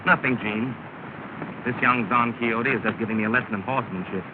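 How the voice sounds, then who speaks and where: A man's voice: mid-range voice, brisk, close to an everyday voice. An actor playing a proud, stubborn young suitor, earnest with her and curt with rivals, in 1930 film acting. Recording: scratchy, tinny 1930 film soundtrack with hiss.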